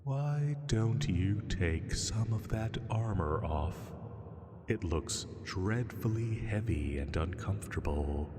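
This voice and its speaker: A man voicing a huge male dragon in a roleplay sleep-aid drama, teasing and soothing with gentle menace, lazily amused, with drawn-out pauses. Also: on the low side, expressive. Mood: proud, teasing. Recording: long cavernous reverb.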